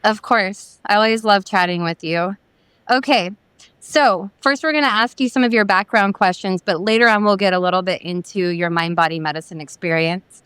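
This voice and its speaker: Clear-voiced woman hosting an interview. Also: medium pitch, moderate pace, a bit bright, no echo. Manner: upbeat, conversational, loosely phrased questions. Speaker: interviewer, physician host.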